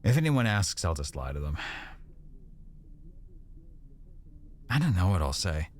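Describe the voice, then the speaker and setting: Guy acting as a teasing sweet boyfriend, asmr roleplay, super low voice, soft n hesitant, faint noise behind. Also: sing-song, fast talker. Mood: playfully defiant. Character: affectionate, teasing, easily embarrassed tattooed young boyfriend.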